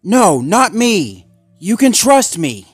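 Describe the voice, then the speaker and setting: A man's voice: fairly high pitch, sing-song, a little slow, fairly bright tone, no room sound, soft background music, voice pushed up. A man voicing a bitter, accusing Labrador pup from a cartoon, confrontational and emphatic in manner. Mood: insistent, distrustful.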